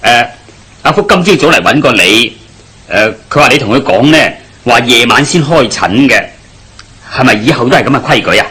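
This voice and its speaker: A man's voice: mid-range voice, lively intonation, moderate pace, bright, forceful tone, husky, full character voice. An actor playing a good-natured, nosy village man who jokes around in a Hong Kong Cantonese radio drama. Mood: inquisitive. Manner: chatty, inquisitive, colloquial.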